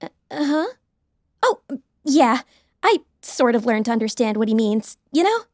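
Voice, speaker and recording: High-voiced, bubbly young college woman. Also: big pitch swings, measured pace, no room sound, studio quality. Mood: friendly, eager. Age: young adult. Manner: chatty, bubbly, earnest. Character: friendly, eager, optimistic young college woman. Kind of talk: audiobook drama acting.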